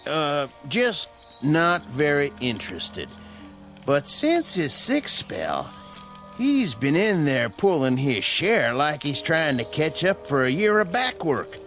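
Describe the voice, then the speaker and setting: A man's voice: on the high side, wildly swooping pitch, slow, fairly bright tone, faint music behind, exaggerated voice. An actor playing a laconic, easygoing farmer father with a rural Southern American accent in a radio drama. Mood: matter-of-fact.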